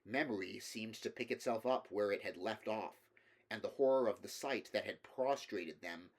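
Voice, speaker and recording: Male audiobook narrator, fairly low pitch, scripted literary horror reading. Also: moderate pace. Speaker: audiobook reader.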